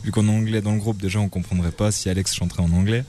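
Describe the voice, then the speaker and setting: Male musician, lowish voice, relaxed explanatory interview answers. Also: fast talker. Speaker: rock band member.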